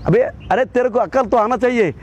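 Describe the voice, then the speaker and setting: A man's voice: very high voice, brisk, darkish tone, dull audio. A man with a Karnataka accent in his Hindi, giving a combative, impassioned statement as an activist and often repeating his phrases. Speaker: political activist and state president of an organisation.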